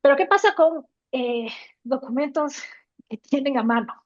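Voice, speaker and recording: Podcast co-host, woman, slightly high voice, step-by-step explainer with frequent tag questions; dry, dull lo-fi sound. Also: lively intonation, moderate pace, dry recording. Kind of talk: explanatory podcast talk on tech.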